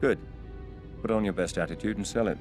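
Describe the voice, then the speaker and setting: Male actor as cool British spy, low-pitched, wry and businesslike, dramatic score loud underneath. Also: brisk, over music, natural voice. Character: cool, clipped British secret agent, dryly wry. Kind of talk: cinematic spy game acting.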